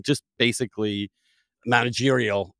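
A man's voice: mid-range voice, steady tone, moderate pace, bright, forceful tone, dry recording, clean studio sound. A man hosting a casual intellectual conversation, wry and digressive, often repeating words as he goes. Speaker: interview host.

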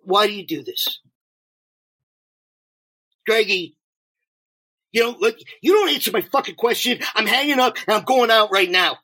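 Guy acting strung-out homeless addict man, bright edgy voice, bitter, sweary, trips over his words, audio play. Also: high-pitched, lively intonation, normal speed, long pauses, heavily acted. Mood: bitter, mocking. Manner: agitated, stammering, profane, sarcastic. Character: desperate homeless male drug addict, bitter and mocking. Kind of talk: naturalistic two-person audio drama acting.

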